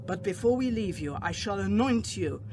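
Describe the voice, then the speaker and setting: Vampire-hunting professor: high voice, slow, authoritative, radio drama with noisy backdrop. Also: male voice, expressive. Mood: urgent resolve. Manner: deliberate, commanding. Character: resolute male professor and vampire hunter, authoritative.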